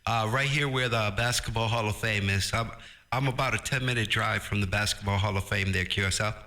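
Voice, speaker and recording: A man's voice: on the low side, steady tone, a little fast, bright, forceful tone. A middle-aged man chatting casually over ham radio. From Brooklyn, New York. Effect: ham radio transmission. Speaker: amateur radio operator.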